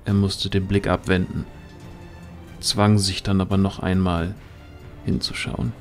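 A male narrator tells a fantasy tale in a fairly low voice. His delivery is slow, deliberate and suspenseful, with music clearly playing underneath. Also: flat delivery. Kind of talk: scripted dramatic storytelling narration.

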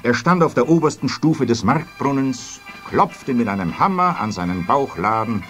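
A man narrating a children's story in an expressive, scripted storytelling manner. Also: mid-range voice, moderate pace, soft background music.

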